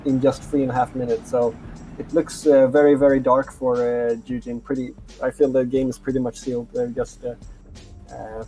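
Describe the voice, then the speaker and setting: A man's voice: mid-range voice, warm tone, low-quality recording. A man from Sweden co-casting a live strategy game, hesitant with lots of fillers. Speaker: esports co-caster.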